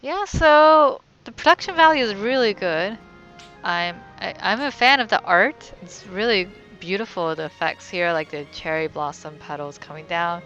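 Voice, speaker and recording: A woman's voice: medium pitch, animated, normal speed, fairly bright tone. A woman giving casual let's-play commentary as the host of a gaming video. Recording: slightly dull, lo-fi voice track. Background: game music.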